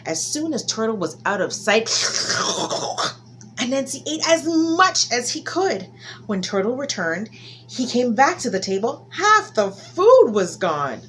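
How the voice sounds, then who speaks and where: A woman telling a folktale to children in an expressive style, stretching key words for dramatic emphasis. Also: a little high, unhurried, a little hiss or hum, rough recording. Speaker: children's storyteller.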